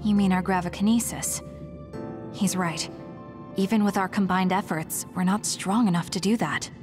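An actress voices a young anime game heroine, serious and determined, at a medium pitch. Her delivery is firm and direct, with music clearly playing behind her. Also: steady tone, normal speed, close to an everyday voice. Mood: serious, resolute. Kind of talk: English anime game dub acting.

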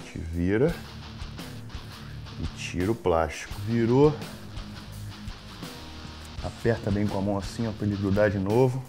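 A young Brazilian man hosting a comic cooking vlog in his home kitchen, brash and jokey, jumping from loud hype to low mutters. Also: unhurried, long pauses, over music. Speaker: cooking show host.

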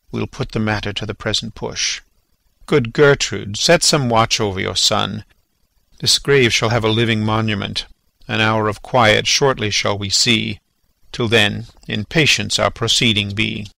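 An actor playing a calculating, commanding king in a classical Shakespearean reading, speaking in a measured, authoritative way. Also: fairly low pitch, steady tone, vocal fry, pushed and bright, no echo, full character voice. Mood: commanding, scheming.

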